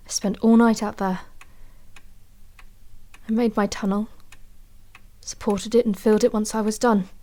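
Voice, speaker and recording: An actress playing an anxious, haunted woman antiquarian in an audio drama, telling her tale in a measured, confiding way. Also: medium pitch, warm tone, natural voice. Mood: resigned, intense. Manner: measured, confiding, uneasy storytelling.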